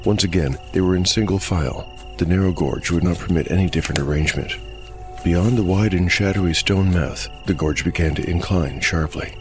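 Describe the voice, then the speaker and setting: Low-voiced man narrating dark fantasy. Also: brisk. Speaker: narrator and game master. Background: music.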